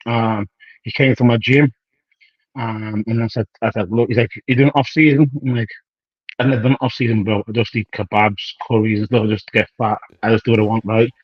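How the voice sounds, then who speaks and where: A man's voice: lowish voice, steady tone, a little fast, slightly rough, no echo. Male bodybuilder, 30s, loose slangy rambler who re-enacts dialogue; dull, dry capture. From United Kingdom. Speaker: professional bodybuilder guest. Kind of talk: casual interview chat.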